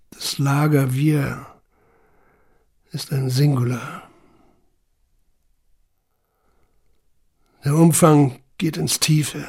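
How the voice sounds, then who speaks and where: Haunted young deportee, husky, creaky, introspective, deliberate radio play monologue. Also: male voice, medium pitch, a little mellow, exaggerated voice. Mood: solemn, bleak. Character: young deported man, haunted, quietly hurt, recounting camp life. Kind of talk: literary radio drama monologue.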